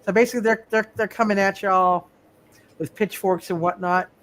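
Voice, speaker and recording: A man running a live tabletop roleplay as game master, explaining casually in a halting way with repeated phrases. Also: sits high, fairly even intonation, fairly bright tone, a little hiss or hum.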